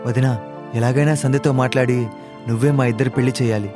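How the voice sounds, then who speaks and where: A man playing an earnest, lovesick young brother-in-law in an animated family story, with music behind. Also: mid-range voice, quick pace, close to an everyday voice. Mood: earnest, anxious.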